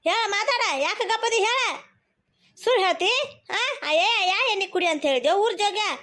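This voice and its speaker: A woman's voice: extremely high pitch, lively intonation, brisk, a bit bright. A woman with a North Karnataka accent voicing a cantankerous, aggrieved older mother-in-law in a cartoon comedy, scolding and repeating herself insistently. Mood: furious scolding.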